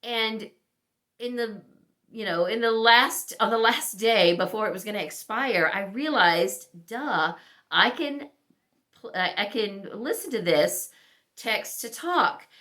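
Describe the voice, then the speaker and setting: A woman talking casually as a book vlogger, thinking aloud in halting, conversational asides. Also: medium pitch, expressive, bright, forceful tone. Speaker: book vlogger reviewing recent reads. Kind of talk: casual chat to camera.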